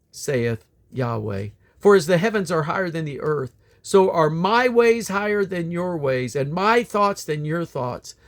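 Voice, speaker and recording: A man with a somewhat high-pitched voice reads scripture aloud. His delivery is solemn and declamatory, each phrase set out deliberately. The ends of his phrases tend to fall away. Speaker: Bible reader.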